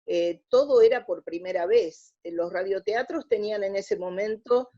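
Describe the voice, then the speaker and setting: A woman's voice: medium pitch, moderate pace, mellow, dull audio. An Argentine woman answering as an interview guest in a conversational, explanatory way, often rephrasing mid-sentence. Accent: Argentine Spanish. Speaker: interview guest, radio drama researcher.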